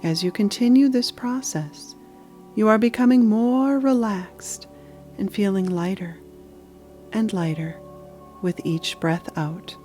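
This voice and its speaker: Slow-paced woman guiding a meditation. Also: medium pitch, warm tone, soft background music. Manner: slow, soothing, measured, deliberate pauses. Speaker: meditation guide. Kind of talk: guided meditation narration.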